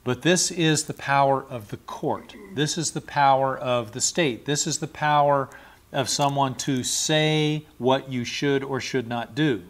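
A man's voice: medium pitch, fairly even intonation, unhurried. A middle-aged man giving a verse-by-verse Bible study, explaining and repeating Greek words, seated in a small studio with a lapel mic. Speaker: Bible teacher.

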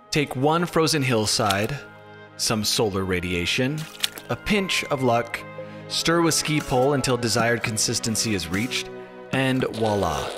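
The man you would hear narrating a spoof instructional film about the outdoors, deadpan and mock-formal, with music playing clearly underneath. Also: mid-range voice. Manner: deadpan, tongue-in-cheek formal delivery. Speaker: narrator of a skiing explainer video. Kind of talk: scripted narration, mock-documentary. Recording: music bed under voice-over.

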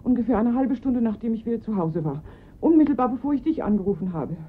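An actress playing a frantic, pleading mother of a kidnapped boy in a German radio crime drama, breathy, urgent and halting. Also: a little high, animated, phone-quality sound. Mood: shaken, halting.

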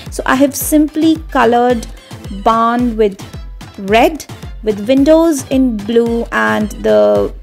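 Lady doing a slow kids how-to voiceover, step by step, faint music behind her. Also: a little high, darkish tone. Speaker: drawing tutorial host. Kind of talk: instructional narration for children.

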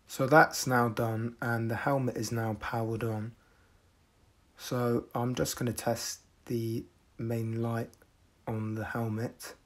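A man from the UK narrating a casual product review in a measured, deadpan manner. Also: mid-range voice. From United Kingdom.

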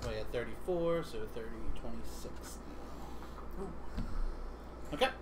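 A noisy livestream recording with clear room ambience carries a man's medium-pitched voice. He chats casually as a board game player, working through moves and numbers out loud. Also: expressive, unhurried. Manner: thinks aloud through moves and tallies.

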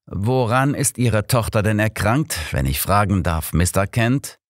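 A man voicing a shrewd, unflappable old detective in an audiobook, measured, deliberate and courteous. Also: fairly low pitch, lively intonation, no echo, studio quality, voice pushed up.

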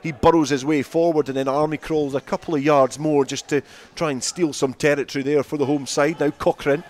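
A man's voice: on the high side, steady tone, fairly quick, darkish tone. A man giving live play-by-play rugby commentary from the ground.

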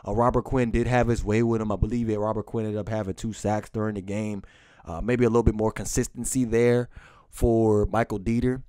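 A man's voice: on the low side, little pitch movement, dark tone, clear, resonant tone, no echo. Informal man recapping football, dry close sound. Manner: informal, repeats phrases for emphasis, halting. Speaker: sports commentary vlogger and football fan. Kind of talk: unscripted post-game football recap.

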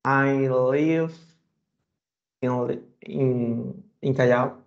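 A man's voice: medium pitch, fairly even intonation, drawn-out words, creaky. A man speaking hesitant beginner English as a student in an online class. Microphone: video call. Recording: muffled video call audio.

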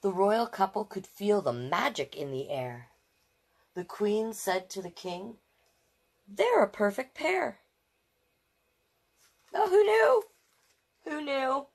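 A woman with a mid-range voice reads a children's story aloud as a storytime host. Her delivery is expressive, and she slips into affectionate, conversational asides, often repeating a phrase. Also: mid-range voice, takes it slowly, long pauses, breathy edge. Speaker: storytime host reading picture books.

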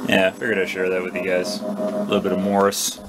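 A man's voice: deep voice, monotone, fairly quick, over loud music. A man giving casual vlog commentary as an amateur radio hobbyist. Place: small dark indoor workshop. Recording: noisy room with clear hum. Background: radio hiss and hum.

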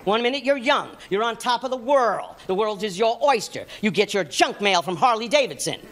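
An older man from New York City doing stand-up comedy, emphatic and animated, performing to a crowd. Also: high voice, moderate pace. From New York City, United States. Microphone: stand microphone on stage. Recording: noisy comedy club with audience.

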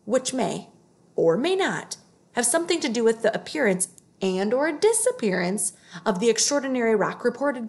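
A woman voicing a solemn, officious storybook wild boar, reporting formally and deliberately. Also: a little high, creaky.

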